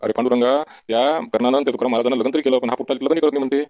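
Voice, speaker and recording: Cartoon casting: fretful village farmer dad played by a man, rapid nagging Varhadi Marathi, slightly dull lo-fi sound. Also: medium pitch, fairly even intonation, no echo, natural voice. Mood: exasperated. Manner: nagging, folksy. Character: fretful village farmer father, bossy, exasperated by his son. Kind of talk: comedy cartoon dialogue.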